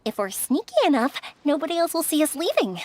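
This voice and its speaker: Very high, bubbly young woman. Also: sing-song, quick pace, a little hiss or hum. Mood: coaxing. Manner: bubbly, coaxing. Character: cheery, persuasive young female fireworks maker.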